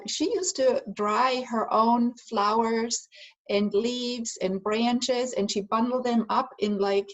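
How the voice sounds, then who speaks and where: A woman's voice: on the high side, rough recording. A woman from Germany, earnestly explaining her healing work as an interview guest, with frequent fillers. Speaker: guest, energy healer and aromatherapist. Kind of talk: conversational interview answers.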